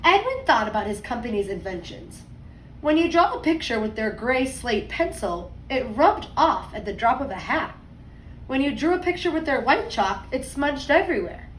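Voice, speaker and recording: Lady teacher doing storytime for little kids, highish voice, bit far from the mic, expressive w little asides. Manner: expressive, deliberate storytelling with emphasized words and conversational asides. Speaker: second grade teacher. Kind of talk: picture book read-aloud for young children, with explanatory asides. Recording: roomy echo, distant mic, faint noise.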